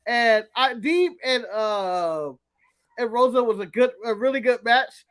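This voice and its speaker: Sex: man. Origin: United States. Role: podcast co-host. Style: casual chat. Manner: animated, repeats himself. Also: very high-pitched, measured pace, clean tone.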